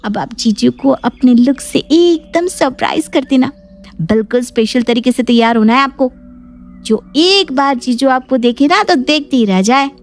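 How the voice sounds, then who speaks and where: A woman voicing an excitable, teasing young woman with a playful, gushing manner in a cartoon story. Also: high-pitched, normal speed, pauses often, pitched above the performer's own voice. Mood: giggly, excited.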